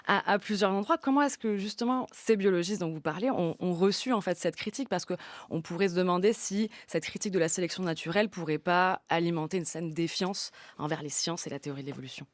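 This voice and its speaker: A woman puts questions to a radio guest in a studio interview. She speaks quickly in a fairly low voice, with a dry, close sound. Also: no room sound.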